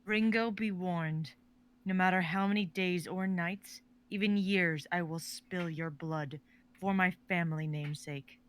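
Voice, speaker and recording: A woman's voice: on the low side, fairly even intonation, a little slow, natural voice. A woman voicing Skeela, a bitter, vengeful lady of the house. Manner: intense, vengeful.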